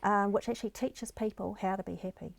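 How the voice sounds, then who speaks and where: A woman's voice: medium pitch, fairly even intonation, a little fast, gravelly, dry recording. A middle-aged woman with a New Zealand accent, a guest explaining happiness and resilience in an earnest, encouraging way. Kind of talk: interview conversation.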